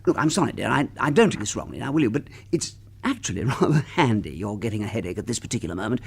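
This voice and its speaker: Prim British husband, flustered, hesitant, slightly husky, hugely expressive intonation, radio comedy drama. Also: male voice, on the low side, quick pace, exaggerated voice. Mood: awkward, sheepish. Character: flustered, fussy, slightly pompous middle-class husband.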